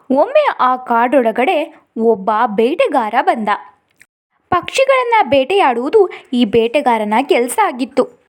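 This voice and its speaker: A woman with a high voice tells a children's story. Her pitch moves up and down in an animated, expressive way. She addresses young listeners directly, as a storyteller hosting her own channel. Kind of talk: children's moral story narration.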